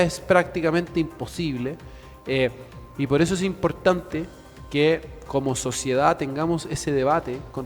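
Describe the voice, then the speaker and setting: Earnest man, a little high-voiced, speechlike, in a reverberant studio with faint music behind. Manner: earnest, speechlike, with many fillers.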